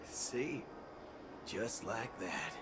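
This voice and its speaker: An actor playing a brash, folksy, roguish cowboy gunslinger with a swaggering, theatrical delivery in a video game. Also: male voice, fairly low pitch, expressive. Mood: smug, breezy. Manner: swaggering, theatrical, drawn-out emphasis. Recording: game music behind the voice.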